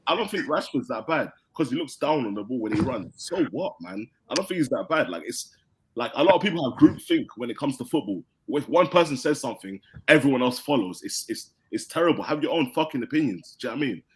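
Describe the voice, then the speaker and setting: A man's voice: mid-range voice, big pitch swings, fast, slight background noise, rough recording. A young man from London with a London accent, calling into an online fan hangout and insisting on having his say. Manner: insistent, swears, talks over others. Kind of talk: casual chat. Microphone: online voice call.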